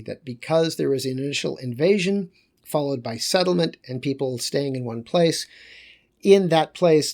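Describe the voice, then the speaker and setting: A man lecturing on geography in a loose, conversational way, pausing and correcting himself as he goes. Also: mid-range voice, a little mellow.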